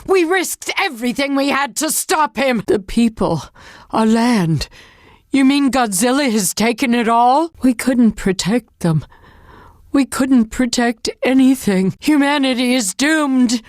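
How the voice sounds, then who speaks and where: A woman leading a nation through disaster in a video game. Her voice sits fairly high, formal and solemn, heavy with responsibility and openly emotive. It is recorded dry, with little room sound. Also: expressive, unhurried, no echo. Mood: despairing. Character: burdened, grave woman national leader facing disaster. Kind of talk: video game voice acting, dramatic monologue.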